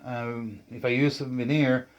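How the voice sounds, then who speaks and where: A man talking casually and unscripted about a hobby build, thinking aloud in a hesitant, halting way as he presents. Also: mid-range voice, fairly even intonation, muffled recording. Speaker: channel host, speaker builder.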